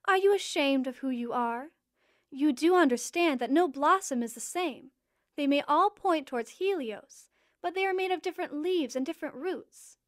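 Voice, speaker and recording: A woman playing a kindly, quirky goddess queen of spring in an audio drama, nurturing and gently formal. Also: high voice, lively intonation, normal speed, no echo, clean studio sound. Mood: gently probing.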